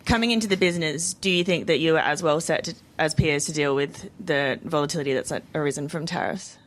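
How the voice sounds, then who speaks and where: A woman's voice: low-pitched, quick pace. A woman speaking as a financial analyst, putting questions on an earnings call.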